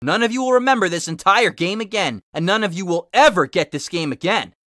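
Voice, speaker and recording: A man's voice: sits high, expressive, brisk, sharp tone, no room sound, studio quality. A man voicing a determined, taunting masked warrior hero from a fan story, with emphatic declamation. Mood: stern.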